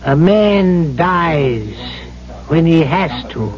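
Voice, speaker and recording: An actor playing a suave, sly, cynical gentleman trader in an old-time radio drama, speaking in a glib, florid, over-polite way. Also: a little high, animated, extremely slow. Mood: cynical, pushy. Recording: dull old radio recording.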